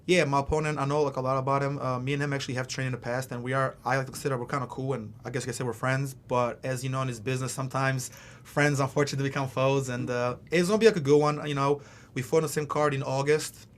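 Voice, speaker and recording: A man's voice: medium pitch, little pitch movement, quick pace, slight background noise. A man who grew up in Philadelphia after early years in Albania, a mixed martial arts fighter talking casually as a podcast guest, rambling with many fillers. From Albania, raised in Philadelphia. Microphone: studio podcast mic.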